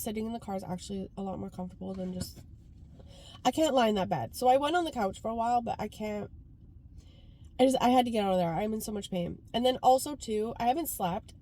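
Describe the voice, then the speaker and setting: A woman's voice: mid-range voice, fast, warm tone. Female vlogger from Canada, congested, chatty and informal, recorded in a car with light ambient noise. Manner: informal, rambling, frequent "like". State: congested from a cold. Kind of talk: casual chatty car vlog. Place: sitting inside a car.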